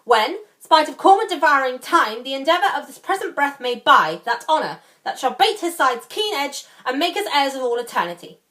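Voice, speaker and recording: A woman's voice: sits high, sing-song, brisk, hardly any pauses, pushed and bright, heavily acted. A woman playing a solemn, commanding king in a Shakespeare scene, declaiming formally. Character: solemn, commanding young king addressing his lords. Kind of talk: Shakespearean stage acting.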